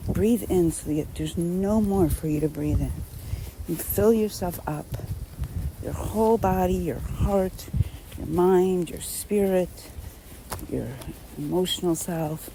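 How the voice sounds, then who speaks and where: A woman leading a guided meditation in a gentle, unhurried manner, speaking unscripted instructions in short phrases with long pauses. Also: lowish voice, background noise.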